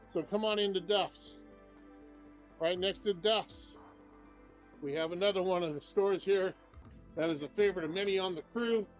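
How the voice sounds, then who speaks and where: Older male vlogger, upbeat and chatty walking-tour guide, fairly high voice, camera-mic sound with faint shop music. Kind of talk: casual walking tour narration. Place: shops and streets of a small town, indoors and out. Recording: slightly dull sound, faint music behind.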